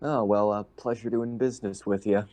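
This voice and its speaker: A man's voice: lowish voice, fairly even intonation, brisk, darkish tone. A man playing a tabletop roleplaying game, talking casually with the group. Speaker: player.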